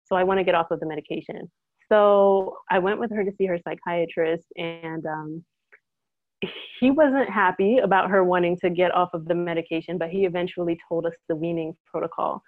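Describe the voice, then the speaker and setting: A woman's voice: fairly low pitch, fairly even intonation, fairly quick, no room sound, phone-quality sound. A woman from Trinidad telling her personal story as an interview guest, a naturopathic doctor, over thin call audio. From Trinidad, then the United States.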